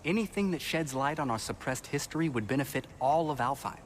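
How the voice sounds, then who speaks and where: Man playing an earnest, talkative elf, middling pitch. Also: lively intonation, a little fast, a little hiss or hum, lightly acted. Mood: earnest, hopeful. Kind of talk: video game character acting.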